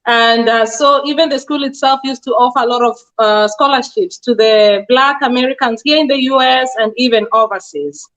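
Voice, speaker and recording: A high-voiced woman from Kenya with a Kenyan accent talks earnestly as an interview guest, explaining at length and pausing on frequent fillers. The sound is dull and lo-fi. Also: fairly bright tone. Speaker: guest, nurse practitioner. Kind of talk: casual interview answers.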